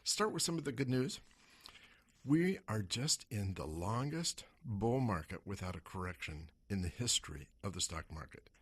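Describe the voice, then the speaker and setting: Hoarse male radio host, husky, low voice, rhetorical, animated delivery. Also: normal speed, no room sound. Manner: rhetorical questions, animated pitch swings. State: hoarse from smoke. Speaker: radio show host and investment advisor. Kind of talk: talk radio monologue.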